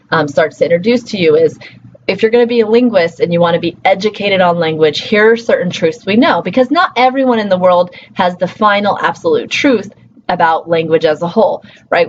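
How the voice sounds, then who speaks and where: A woman who teaches college, giving a casual recorded lecture, full of "um"s and quick self-corrections. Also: medium pitch. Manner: casual and chatty, with many fillers and self-corrections. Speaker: college instructor. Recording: slightly muffled, faint hiss.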